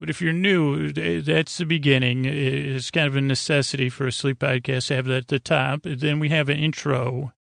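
Gravelly, meandering American man. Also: a little high, steady tone, a bit bright, no echo, studio quality. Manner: meandering, halting, trails off mid-thought. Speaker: podcast host. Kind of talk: rambling, low-key monologue for a sleep podcast.

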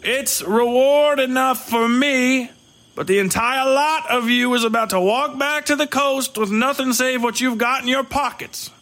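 Man putting on a high-pitched, swaggering western lawman. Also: a little slow, a bit bright, full character voice. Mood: menacing, smug. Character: swaggering male bounty-hunting lawman, threatening, sardonic. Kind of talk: western audio fiction character voice.

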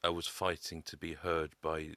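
A man telling his own story as a podcast guest, halting often with a stammer. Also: extremely low pitch, level tone, pushed and bright, no room sound. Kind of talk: personal testimony.

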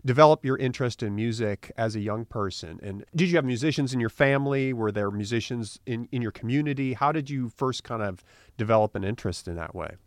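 A man's voice: medium pitch, brisk, no room sound, clean studio sound. A man interviewing a guest, asking loosely worded questions and rephrasing them several times.

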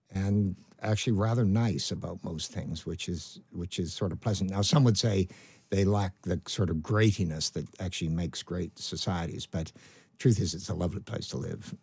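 A man in his seventies with a Canadian accent, speaking as a guest in a conversational interview, rambling with restarts and trailing off quietly. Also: deep voice, husky, dry recording. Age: 70s. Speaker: guest, medical professor.

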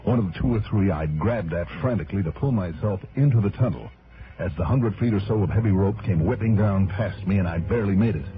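A man's voice: low voice, fast, close to an everyday voice. An actor playing a bold private investigator who tells his own adventure in 1960s radio drama style. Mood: tense, wry storytelling. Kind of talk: 1960s radio drama acting, first-person narration. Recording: dull lo-fi old radio recording.

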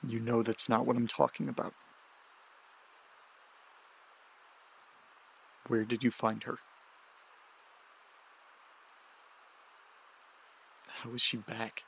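A man doing an anxious, stammering young man in an audio drama, tense and searching. His voice sits at middle pitch, with faint background hiss. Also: little pitch movement, fairly quick, gravelly, fairly bright tone, low-quality recording.

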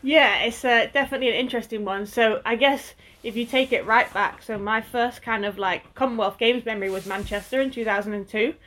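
A woman's voice: a little high, a little fast, hardly any pauses, a bit bright. A woman in her twenties from Northwest England, a guest athlete chatting casually in an interview, with lots of fillers and easy laughter. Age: 20s.

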